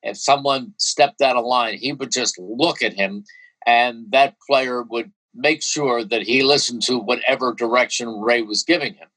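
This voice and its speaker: A man's voice: medium pitch, measured pace, bright, forceful tone, rough recording. A man in an interview, fondly and steadily recalling a former coach. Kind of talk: reflective interview.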